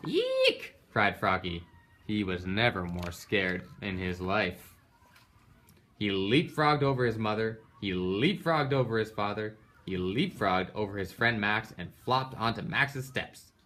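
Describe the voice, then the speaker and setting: A man's voice: on the low side, measured pace, sharp tone. A man reading a children's picture book aloud in a dramatic way, with emphatic sound-effect words. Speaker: storybook reader. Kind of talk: animated children's read-aloud.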